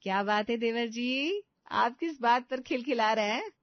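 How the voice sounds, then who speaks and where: A woman's voice: fairly high pitch, lively intonation, unhurried, no room sound, highs cut off. A woman voicing a cheerful, caring young sister-in-law in a family story, chirpy and teasing.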